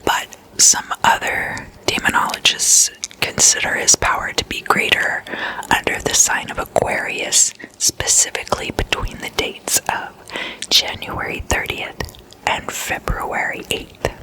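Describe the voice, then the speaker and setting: An ASMR host whispering in an intimate slumber-party chat, addressing listeners as guests and softly repeating words. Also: a little slow. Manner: repeats single words in soft trailing echoes.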